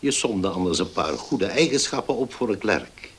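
An actor playing an older, well-off father in a radio play, indulgent and gruffly dignified, weighing his words. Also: on the low side, big pitch swings, normal speed, a bit bright, exaggerated voice. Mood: wry. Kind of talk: Dutch radio play acting.

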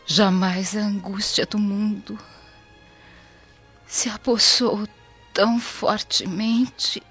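Casting: gentle, grieving Roman daughter in radio drama, Brazilian accent, very slow prayerful delivery, music beneath. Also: female voice, mid-range voice, fairly even intonation, muffled recording. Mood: anguished, prayerful. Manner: slow, solemn, prayerful delivery with breathy whispered words. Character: devout, sorrowful, gentle grieving daughter in ancient Rome.

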